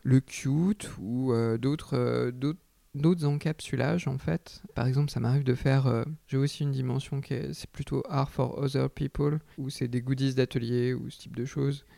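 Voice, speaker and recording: Brief: hesitant artist guest, man, gravelly and dark-toned, close dry sound. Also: medium pitch, steady tone, moderate pace, dry recording. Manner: hesitant, searching for words. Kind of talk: reflective interview answers.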